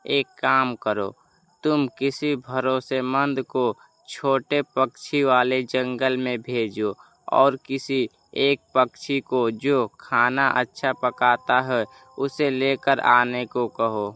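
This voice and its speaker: Man voicing a slow, scheming old crow. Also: mid-range voice, steady tone, sharp tone, smooth, no room sound. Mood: scornful. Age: older. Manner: cajoling, scornful, preachy. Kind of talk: Hindi children's cartoon dialogue.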